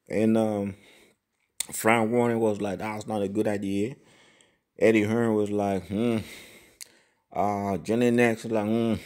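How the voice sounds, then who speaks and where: A man's voice: on the low side, darkish tone. A man hosting a boxing channel, giving casual, profane commentary and often asking "you know what I mean?".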